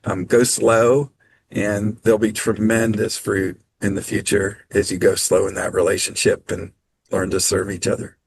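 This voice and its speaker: A man presenting on a video call training, speaking in a measured, practical way with frequent ums. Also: on the low side, level tone, vocal fry, a little hiss or hum. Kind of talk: online training talk.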